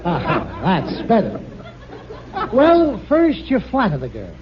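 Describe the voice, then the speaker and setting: Blustery, high-pitched radio boss. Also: male voice, wildly swooping pitch, takes it slowly, a crowd in the background, heavily acted. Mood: smug. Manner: gruff, pompous, hammy theatrical delivery. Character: blustery, vain older male boss, married twenty-four years. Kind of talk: 1940s radio comedy acting. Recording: dull, hissy vintage radio recording.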